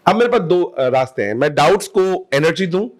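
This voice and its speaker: Energetic man in his thirties, fairly high voice. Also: fairly quick. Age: 30s. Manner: energetic, mixes Hindi with English phrases. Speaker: podcast guest, sales trainer. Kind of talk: motivational casual talk.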